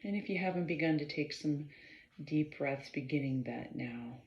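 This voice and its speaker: A woman leading a guided meditation in a measured, halting way, pausing between short phrases and often clearing her throat. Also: low voice, a little mellow. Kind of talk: slow guided meditation instruction. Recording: roomy, slightly dull sound.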